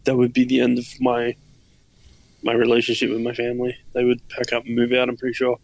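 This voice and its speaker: Gravelly Australian co-host, relaxed. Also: male voice, mid-range voice, fairly quick, no room sound, low-quality recording. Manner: relaxed, joking, chuckles often. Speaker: podcast co-host. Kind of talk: casual chat.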